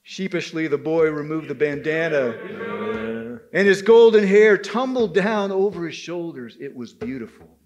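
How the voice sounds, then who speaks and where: A man telling a story to a live gathering in a deliberate, dramatic way, with pauses, as the leader of the group. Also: on the high side, expressive, darkish tone, lo-fi sound. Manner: deliberate, pausing, addresses listeners as brothers. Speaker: storyteller leading a men's spirituality gathering.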